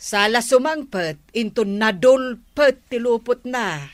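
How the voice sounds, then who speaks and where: An actress playing an opinionated, chiding village wife in an Ilocano radio comedy, matter-of-fact, with an Ilocano accent. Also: female voice, fairly high pitch, expressive, slow pace, dry recording. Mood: disapproving.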